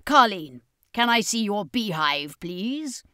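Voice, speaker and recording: A woman's voice: mid-range voice, sing-song, takes it slowly, sharp tone, smooth, no room sound, clean studio sound, exaggerated voice. A woman reading a storybook, voicing a commanding, resolute male circus master who hunts vampires. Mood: inspired.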